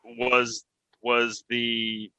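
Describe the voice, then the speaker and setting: A man with a mid-pitched, extremely bright and forward voice, recorded very dry. He talks in a casual, conversational way as a co-host putting questions to a guest. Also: completely flat delivery, extremely slow, clear, resonant tone, no echo. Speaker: podcast co-host, interviewer. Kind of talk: casual chat.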